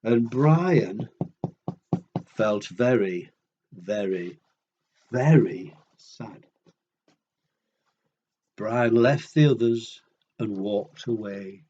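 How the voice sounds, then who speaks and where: A man reading a story aloud to young children in an expressive way, pausing for little asides and questions to his listeners. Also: on the low side, dull audio.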